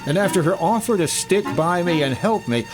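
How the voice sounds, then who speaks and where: A man playing a wry, suspicious American investigator with a brisk manner, in the style of 1950s radio detective drama. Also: sits high, expressive, over music. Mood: puzzled. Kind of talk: 1950s radio detective acting, first-person narration.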